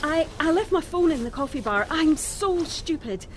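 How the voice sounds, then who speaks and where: A woman's voice: high-pitched, lively intonation, normal speed. An actress playing a flustered, apologetic, scatterbrained young woman in a naturalistic audio drama. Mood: flustered, grateful. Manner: apologetic, flustered, self-deprecating.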